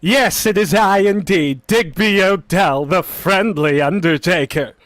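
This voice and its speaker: A man playing a gloomy deadpan undertaker. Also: high-pitched, no echo, clean studio sound. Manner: slow, mournful, deadpan delivery. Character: gloomy punning male undertaker, soft-spoken. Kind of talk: vintage radio comedy acting.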